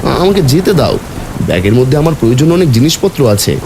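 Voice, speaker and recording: A man voicing an anxious, devoted young son in an animated horror story, speaking in an insistent, pleading, excitable way. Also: medium pitch, fast, very noisy. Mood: insistent, puzzled.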